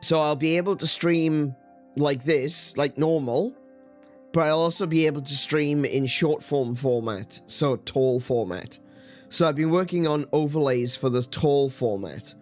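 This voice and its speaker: A man hosting his live stream, chatting casually and rambling with hesitant fillers and restarts, his voice a little croaky and strained. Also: on the high side, unhurried, soft background music. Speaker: streamer host.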